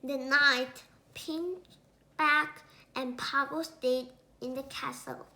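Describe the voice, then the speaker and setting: High, bright young female story reader. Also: fairly even intonation. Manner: halting, word-by-word reading with self-corrections. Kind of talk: read-aloud children's story narration.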